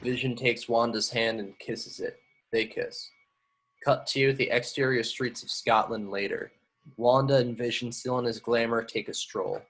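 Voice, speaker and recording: A man's voice: medium pitch, steady tone, unhurried, fairly bright tone, low-quality recording. A man reading screenplay action lines at a table read, matter-of-fact with the odd stumble. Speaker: stage-direction reader.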